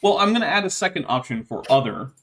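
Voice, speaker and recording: A man hosts a live stream, speaking a touch higher than most men. He reads viewer messages in a wry, slightly formal way, with small hesitations along the way. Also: sing-song, fast, fairly bright tone. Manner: wry, mildly formal phrasing with hesitant fillers. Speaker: streamer hosting a live chat. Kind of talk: casual chat, reading viewer messages aloud.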